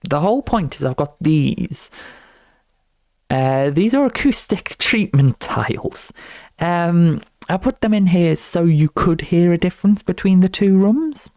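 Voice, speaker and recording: Young male, creaky voice, mellow tone, dry close sound, informal tutorial, rambling delivery. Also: high voice, expressive, measured pace, no room sound. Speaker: student game developer presenting own project. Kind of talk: casual unscripted tutorial walkthrough.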